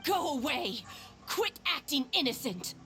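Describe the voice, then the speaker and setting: A woman's voice: high-pitched, very animated, measured pace, music in the background, heavily acted. An actress playing a hostile, suspicious townswoman in a video game, speaking in a scornful, confrontational manner. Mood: angry.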